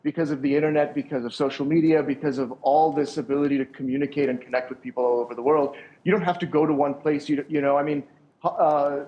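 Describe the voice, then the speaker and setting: A man's voice: on the high side, fairly even intonation, fast talker, muffled recording. A young man, a sound engineer, answering interview questions over a call in a thoughtful, rambling way with many restarts.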